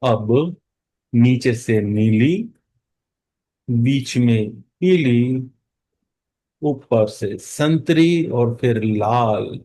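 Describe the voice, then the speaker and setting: An Indian man leading a guided meditation as instructor, speaking calmly in short phrases with long pauses between them. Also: mid-range voice. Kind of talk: guided meditation, mixing Hindi and English.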